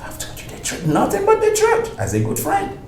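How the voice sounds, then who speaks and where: A man's voice: extremely high pitch, huge pitch swings, a little fast, echoey room, soft background music, heavily acted. An exasperated, blunt Nigerian friend in a film drama, scolding and emphatic as he gives a warning. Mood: resigned, scolding.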